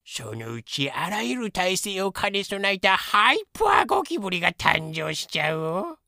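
A woman's voice: medium pitch, very animated, normal speed, vocal fry, sharp tone, dry recording, clean studio sound, exaggerated voice. A woman voicing a cheeky, taunting young male cockroach with a cutesy verbal tic in a comedic audio drama. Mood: gloating.

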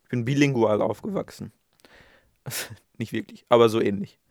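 A man's voice: mid-range voice, animated, normal speed, gravelly, darkish tone, no room sound. Man, podcast co-host, self-deprecating, lots of fillers, dry close sound. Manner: self-mocking, rambling with many fillers. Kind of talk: casual podcast chat.

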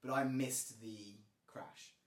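A man's voice: mid-range voice, fairly even intonation, slow pace. A man hosting a casual interview podcast, eager and rambling with lots of fillers. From England. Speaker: podcast host. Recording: roomy echo.

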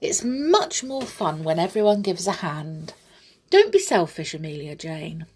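A woman voicing a sensible male teddy bear in a children's storybook, reproachful and clever, with a husky voice. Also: fairly low pitch, very animated, a little slow, a little mellow, exaggerated voice.

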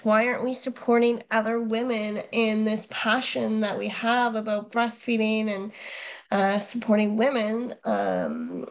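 A woman in her thirties with a somewhat high voice speaks slowly and haltingly, full of "um" and "uh". She is getting over a cold, so her voice comes out nasal. She chats casually as a guest on a podcast. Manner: hesitant, many fillers and restarts. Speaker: lactation consultant guest.

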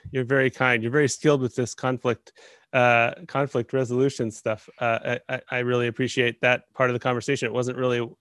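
A man's voice: mid-range voice, little pitch movement, a little fast, a bit bright, no echo. Hesitant male interviewer, dry sound. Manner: hesitant, stumbling, friendly. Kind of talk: casual interview questions.

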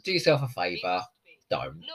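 Lively joking man, very animated pitch. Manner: lively, joking, quick asides and laughter. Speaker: podcast host. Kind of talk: casual commentary while watching an episode.

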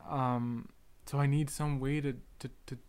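A young man with a stutter speaks in a halting, hesitant way, stalling on words as he searches for them. His voice is smooth and clear, with a flat, even delivery. It sounds like a solo podcast monologue. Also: medium pitch. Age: young adult. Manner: halting, soft-spoken, hesitant. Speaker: podcast host.

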